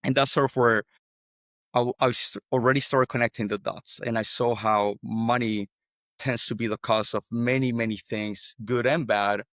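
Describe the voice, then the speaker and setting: A man's voice: medium pitch, fairly even intonation, moderate pace, a bit bright, no echo, studio quality. A man from El Salvador, a podcast guest, telling his story in an earnest, conversational way, often restarting words and tagging points with "right?".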